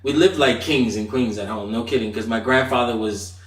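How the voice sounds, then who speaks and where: A man's voice: mid-range voice, fairly quick. Echoey, distant man from Nigeria, casually storytelling. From Nigeria, moved to the US aged eight. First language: Igbo. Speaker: podcast guest. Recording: roomy echo, distant from mic.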